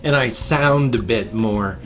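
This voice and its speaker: A man's voice: mid-range voice, slow pace, over music. An older man, hosting a rambling, chatty morning vlog from his home desk, sounding nasal and congested with a slight wheeze. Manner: folksy, chatty, meandering. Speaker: vlog host. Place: small home room at a desk.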